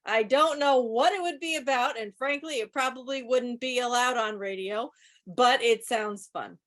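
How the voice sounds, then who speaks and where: High-voiced woman hosting casual trivia. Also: normal speed. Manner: wry, joking asides. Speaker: quiz host. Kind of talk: casual live trivia commentary. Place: online video meeting.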